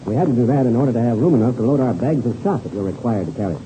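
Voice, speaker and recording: An actor playing a friendly, breezy American pilot and mentor with an American accent in a 1930s radio serial. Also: male voice, medium pitch, animated, very fast, lo-fi sound, natural voice. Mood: hearty. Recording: faintly hissy old radio recording.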